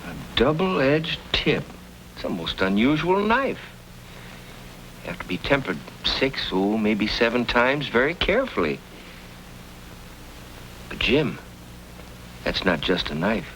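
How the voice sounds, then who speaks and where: An actor playing a gentle, weary old knifesmith with a hesitant, homespun manner in an old-time radio Western. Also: male voice, medium pitch, big pitch swings, takes it slowly, pauses often. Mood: pondering, wistful. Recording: noisy old radio drama recording.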